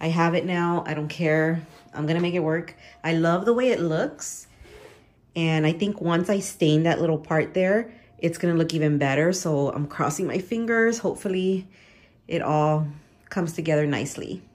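A young woman with a low-pitched voice narrates her home vlog. She speaks in a casual, conversational style and confides in the viewer like a friend. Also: moderate pace, a little mellow. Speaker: home and cleaning vlogger.

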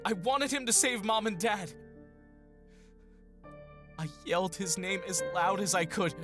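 An actor playing an earnest, haunted young would-be mercenary in an audio drama, with hesitant, emotional delivery. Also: male voice, sits high.

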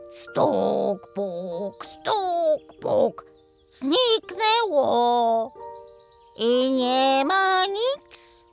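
A woman voicing old wooden fence stakes in a children's storybook, condescending gloomy know-it-alls with a drawn-out, patronising delivery. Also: high voice, gravelly, fairly bright tone, full character voice. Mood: mournful chanting.

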